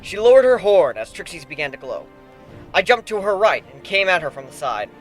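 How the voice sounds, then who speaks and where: A man giving an animated, theatrical dramatic reading of a first-person fan fiction story. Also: sits high, brisk. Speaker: amateur story narrator. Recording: background music under the voice.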